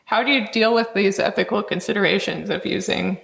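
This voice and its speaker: Woman, podcast host, creaky mid-pitched voice, measured scholarly questioning, echoey recording. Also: fairly bright tone. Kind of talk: conversational scholarly interview. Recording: echoey, roomy sound.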